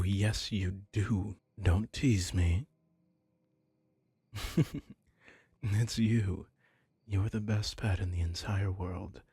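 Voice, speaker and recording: Man playing a husky, low, possessive young mafia heir. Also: a little mellow, dry recording, exaggerated voice. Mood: teasing, smug. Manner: tender, teasing, coaxing, slips into whispers. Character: possessive, doting young male mafia heir, lovestruck master. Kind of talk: intimate ASMR roleplay audio acting.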